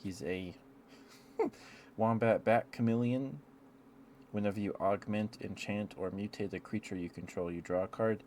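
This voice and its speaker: A man chatting in a rambling, affectionate ASMR style to live viewers, speaking softly into a close microphone. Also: lowish voice, unhurried, pauses often. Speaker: ASMR livestreamer. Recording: clear background noise.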